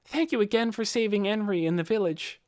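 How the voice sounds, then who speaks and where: A man's voice: high-pitched, a little fast, a little mellow, no echo, clean studio sound, pitched above the performer's own voice, close to an everyday voice. A man voicing an earnest, admiring teenage boy, polite and youthful, in a fantasy audiobook. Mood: earnest, grateful.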